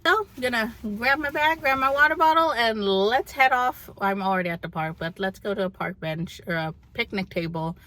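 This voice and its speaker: A young woman chatting casually and candidly to her vlog audience in a rambling, animated way. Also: fairly high pitch, moderate pace, hardly any pauses, a little hiss or hum, low-quality recording. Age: young adult. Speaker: vlogger.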